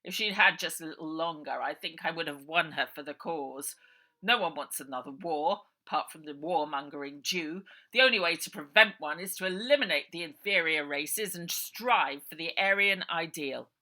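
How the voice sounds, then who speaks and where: A woman's voice: deep voice, moderate pace, bright, forceful tone. A woman voicing a sinister middle-aged uncle in a storybook, pompous, condescending and menacingly polite.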